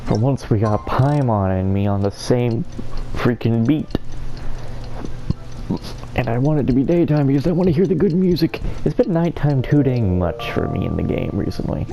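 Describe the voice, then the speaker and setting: A man's voice: medium pitch, animated, moderate pace, gravelly. A man giving casual let's-play commentary, slangy and full of joking riffs. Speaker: gaming video host. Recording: dull lo-fi mic, game music behind.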